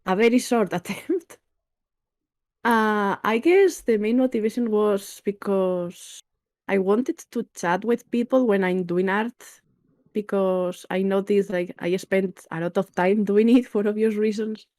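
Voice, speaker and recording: A woman's voice: mid-range voice, measured pace, darkish tone. Spanish-accented woman, friendly interview guest. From Spain. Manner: friendly and laughs easily, at times searching for words. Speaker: guest, VTuber artist. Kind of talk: casual interview chat.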